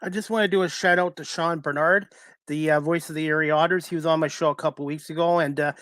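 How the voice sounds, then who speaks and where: A man's voice: on the high side, fairly even intonation, fast, a bit bright, no room sound, clean studio sound. A man hosting a sports talk radio show, introducing and chatting with his guest.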